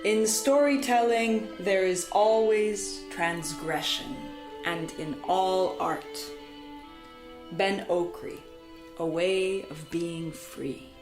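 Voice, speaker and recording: A woman storyteller delivering poetic scripted narration in a solemn, deliberate way, with dramatic phrasing and emphatic repetition. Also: mid-range voice. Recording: echoey, reverberant sound with music behind. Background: music.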